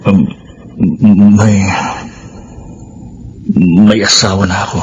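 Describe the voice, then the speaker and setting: An actor playing a guilty young boyfriend, hesitant and apologetic. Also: male voice, sits low, steady tone, drawn-out words, highs cut off. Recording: radio drama with faint noise.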